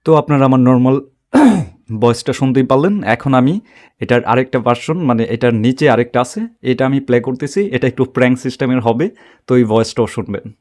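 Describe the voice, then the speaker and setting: A man giving an unscripted, step-by-step app tutorial in a halting, repetitive way. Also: medium pitch, a little mellow. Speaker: tech tutorial host.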